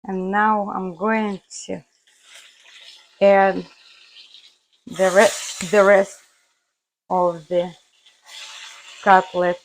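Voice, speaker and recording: A woman hosting a cooking video from her home kitchen, her voice hoarse, chatting viewers through each step. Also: mid-range voice, level tone, very slow, pauses often, slight background noise, poor audio. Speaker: cooking channel host. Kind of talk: casual cooking demonstration.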